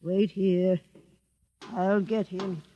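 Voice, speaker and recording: A man's voice: sits high, little pitch movement, very slow, mellow, a little hiss or hum. An actor playing a suspicious male doorkeeper who questions visitors warily in a 1950s radio drama. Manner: wary, questioning. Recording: dull 1950s radio recording with reverb.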